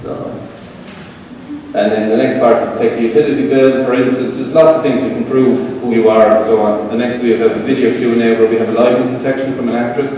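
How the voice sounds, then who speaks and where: A middle-aged man with an Irish accent giving a relaxed conference talk with a live demo, with frequent fillers, to a seated audience in an event hall. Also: mid-range voice, slight background noise. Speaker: tech presenter. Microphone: room pickup from far camera. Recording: roomy hall echo from far off.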